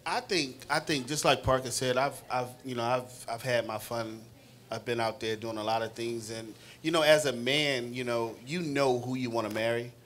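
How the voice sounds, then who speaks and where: Animated man with a handheld mic. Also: mid-range voice. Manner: animated, talks in quick bursts with repeats. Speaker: playwright and producer. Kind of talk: casual panel interview. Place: restaurant room with wood walls.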